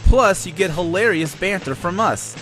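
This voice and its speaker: Lively higher-voiced man in a podcast promo. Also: moderate pace, faint music behind. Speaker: comedy podcast host. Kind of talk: scripted comedic promo banter.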